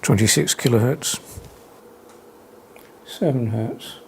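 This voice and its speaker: Slow, gravelly-voiced man. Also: medium pitch, animated, a little hiss or hum, low-quality recording. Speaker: hobbyist demonstrator. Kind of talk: spoken demonstration, calling out test readings.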